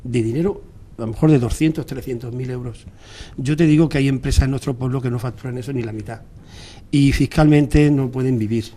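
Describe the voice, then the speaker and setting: A man's voice: medium pitch, brisk, warm tone. A man with an accent from Spain arguing off the cuff as a debate guest, repeating his points for emphasis. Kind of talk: spontaneous panel debate.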